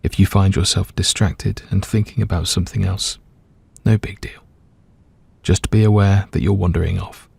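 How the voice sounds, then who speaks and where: A man gently and calmly leading a scripted guided meditation, letting his voice soften at the ends of phrases. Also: low voice, moderate pace, vocal fry, slightly rough, no room sound. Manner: gentle, calm, soothing, hushed at phrase ends.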